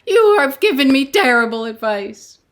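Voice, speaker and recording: A woman doing a frazzled sleepless man, shrill and loud. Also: big pitch swings, moderate pace. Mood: exasperated. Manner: exasperated, complaining, rising to near shouts. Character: frustrated sleepless man in a noisy house.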